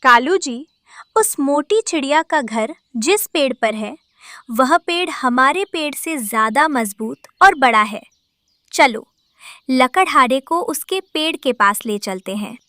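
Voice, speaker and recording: Woman as a scheming high, bright crow. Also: lively intonation, slow pace, dry recording, studio quality, heavily acted. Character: scheming, sneering female crow wife.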